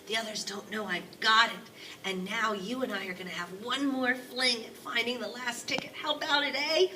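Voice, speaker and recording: A woman voicing an excited, mischievous old grandfather from a storybook, with an urgent, conspiratorial manner. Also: on the high side, normal speed, distant mic, a little hiss or hum, poor audio. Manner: hushed, urgent, emphatic storybook delivery.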